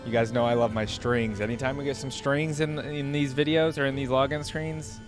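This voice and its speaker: A man hosting a reaction video, thinking out loud in casual, informal and enthusiastic commentary. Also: medium pitch, fairly even intonation, normal speed. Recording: music playing behind the voice. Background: game login music.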